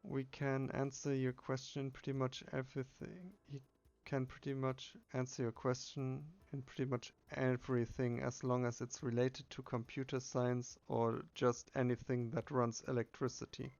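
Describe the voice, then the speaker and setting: A man's voice: mid-range voice, little pitch movement, normal speed, vocal fry, few pauses, a little mellow, highs cut off. A man casually playing through a game, reading its text aloud and muttering his own asides. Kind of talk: casual game playthrough with read-aloud dialogue.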